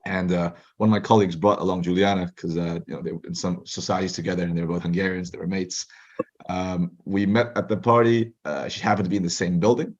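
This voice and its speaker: A young man with a very deep, husky voice talks quickly through his story as a podcast guest. The audio is dull and muffled. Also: steady tone. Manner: fast, chatty storytelling. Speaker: guest, startup co-founder. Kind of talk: casual interview chat.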